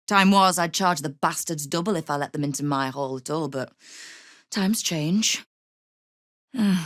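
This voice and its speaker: A tough lady crime boss in a video game, low voice, wry n shrewd, quietly threatening, super dry sound. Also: vocal fry, no echo, full character voice. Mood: cool, wry. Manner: wry, controlled, menacing understatement. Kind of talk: video game character dialogue.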